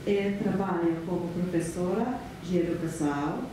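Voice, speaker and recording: A middle-aged woman from Finland with a Finnish accent, giving a relaxed, chatty conference lecture with frequent fillers. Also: on the low side, normal speed. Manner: relaxed, chatty, laughs often, many fillers. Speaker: guest lecturer. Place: large lecture hall. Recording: echoey hall, far from the mic, room noise.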